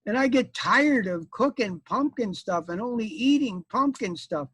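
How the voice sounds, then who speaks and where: Man putting on a high, plaintive storybook lady. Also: expressive, a little slow, a bit bright, breathy edge, dry recording, exaggerated voice. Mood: fed up, complaining. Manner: plaintive, put-on feminine lilt. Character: crying village women and a fed-up trapped pumpkin wife. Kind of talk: live storytelling for an audience.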